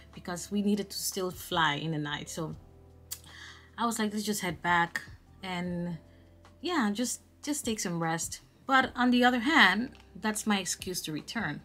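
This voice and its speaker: A young woman from the Dominican Republic, a travel vlogger chatting casually to the camera, expressive and full of restarts. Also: medium pitch.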